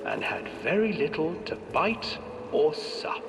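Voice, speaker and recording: A theatrical storyteller narrating a dark fairy tale. His fairly high voice swoops widely and deliberately, ringing in a large hall over music. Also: very animated, vocal fry, noisy background, exaggerated voice. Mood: theatrical, ominous. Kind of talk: dramatic storybook narration in a video game. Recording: echoing hall, distant, music behind.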